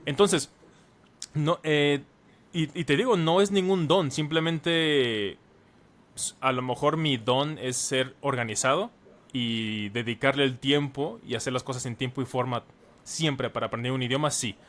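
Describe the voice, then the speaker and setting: Brief: man in his thirties, podcast guest, mid-pitched voice, earnest and explanatory, conversational. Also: measured pace, a bit bright. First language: Spanish. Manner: earnest, explains at length with many examples. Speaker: guest, language teacher and author.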